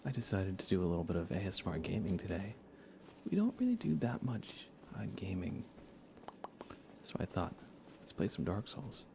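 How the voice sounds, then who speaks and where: Male ASMR game streamer, low creaky voice, swinging intonation, soft unhurried chat, noisy background. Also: very animated. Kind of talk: relaxed live ASMR gameplay commentary and chat.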